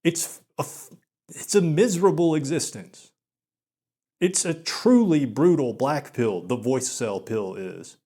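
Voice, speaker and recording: A young man with a mild Southern drawl talks slowly in a flat, monotone voice. He stammers and leans on filler words in a resigned, gloomy monologue. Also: medium pitch, breathy edge. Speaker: video essayist. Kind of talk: self-deprecating monologue.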